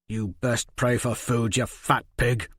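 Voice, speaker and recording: A man's voice: medium pitch, steady tone, slow, a bit bright, slightly rough, no echo. A man reading a story, voicing a bitter, foul-mouthed teenage boy who spits insults. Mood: scornful. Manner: spitting, sneering insults.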